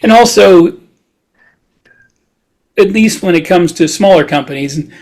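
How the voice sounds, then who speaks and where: A man co-hosting a podcast, talking casually and haltingly as he thinks aloud. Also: high voice, darkish tone. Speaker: podcast co-host and author. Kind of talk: casual podcast discussion of publishing.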